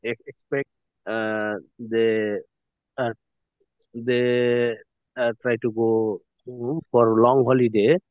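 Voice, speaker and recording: Slow halting man on a phone line. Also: medium pitch, little pitch movement, no echo. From Bangladesh. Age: 30s. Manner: halting, many fillers, polite "sir". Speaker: student. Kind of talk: self-introduction in class. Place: in a car.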